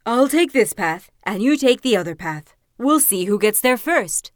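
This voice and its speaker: A woman's voice: fairly high pitch, expressive, normal speed, no room sound, clean studio sound, lightly acted. Woman voicing a sly, hungry storybook wolf. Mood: sly, coaxing. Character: sly hungry male wolf, falsely sweet. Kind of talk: storybook character voice.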